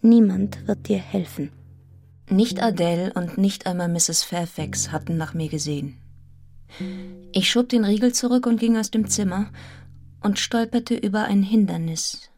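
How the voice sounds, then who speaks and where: Radio drama lead for an actress: wounded, resolute young governess, medium register, intense yet restrained narration, musical backing. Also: female voice, mid-range voice, a little slow, faint music behind, natural voice. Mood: desolate, anguished, then subdued. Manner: restrained, intense, breaking into hushed fragments. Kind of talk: German radio play narration and acting.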